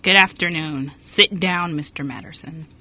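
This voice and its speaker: A woman's voice: low voice, moderate pace, bright, forceful tone, no echo, full character voice, poor audio. A woman playing a stern, disapproving orphanage director in an amateur radio play, formal and blunt.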